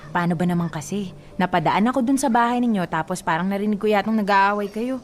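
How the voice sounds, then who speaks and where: A woman's voice: medium pitch, quick pace, slight background noise, close to an everyday voice. An actress playing a nosy, talkative neighbor woman in a radio drama, prying and handing out blunt advice.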